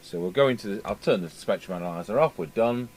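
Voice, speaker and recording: A man's voice: on the low side, lively intonation, fast, a little mellow. A man giving a casual hands-on repair demonstration as a technician, thinking aloud as he works. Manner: muttering to himself, thinking aloud while working. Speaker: radio repair technician presenting. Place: indoors at a repair workbench. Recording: clear background noise, workshop ambience.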